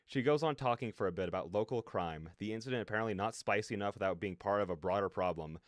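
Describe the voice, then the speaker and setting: A man's voice: on the low side, fast talker, no room sound, studio quality, lightly acted. Man reading as wry first-person game narrator. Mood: wry, intent. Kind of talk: scripted narration read aloud.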